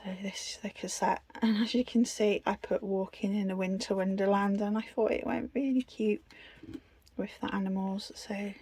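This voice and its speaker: A woman's voice: medium pitch, fairly even intonation, normal speed, dark tone, husky, no room sound. A British woman giving a casual, unscripted craft tutorial in a chatty, self-deprecating way. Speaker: craft video host.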